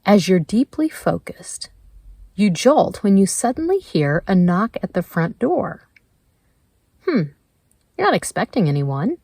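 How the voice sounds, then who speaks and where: Woman doing a guided meditation, slow and gentle, lowish voice, really dry close sound. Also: sing-song, vocal fry, breathy edge, no echo. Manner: gentle, measured, with pauses between prompts.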